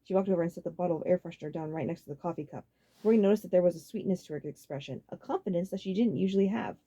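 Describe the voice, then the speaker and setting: Woman audiobook narrator, expressive storytelling, quick pace, lowish mellow voice, animated pitch. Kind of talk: dramatic scripted narration.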